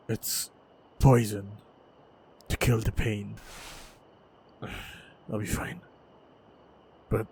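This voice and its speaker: A man playing a wounded, stoic monster hunter in a fantasy audio roleplay, speaking in a measured, halting, guarded way. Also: lowish voice, darkish tone, a little hiss or hum. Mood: determined. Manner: measured, halting, guarded delivery with heavy sighs. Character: wounded, battle-hardened lone male monster hunter, wary, stoic. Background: faint ambient sound effects.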